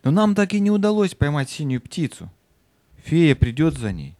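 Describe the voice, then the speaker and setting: Man as excitable young boy, high pitch, swooping intonation, insistent, fairy-tale audio drama. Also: normal speed, no room sound. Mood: insistent, earnest.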